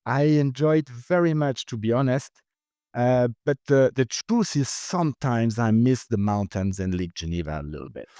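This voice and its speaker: A man's voice: medium pitch, moderate pace, warm tone, no room sound, clean studio sound. A middle-aged man with a Swiss accent, speaking as a finance executive guest in a business interview, hesitant with many fillers and restarts. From Switzerland. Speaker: company CFO, guest.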